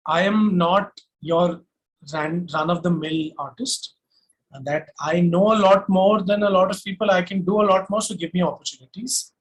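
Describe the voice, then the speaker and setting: High-pitched Indian man, self-assured. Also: fairly even intonation, normal speed, low-quality recording. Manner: emphatic, self-assured, methodical point-by-point explaining. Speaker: singer-songwriter and music producer guest. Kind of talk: conversational interview answers.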